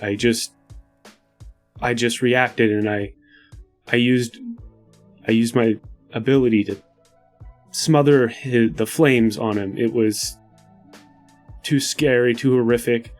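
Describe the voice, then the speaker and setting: A man playing an earnest, guilt-laden desert hunter in a tabletop game, speaking in a halting, somber way. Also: medium pitch, close to an everyday voice.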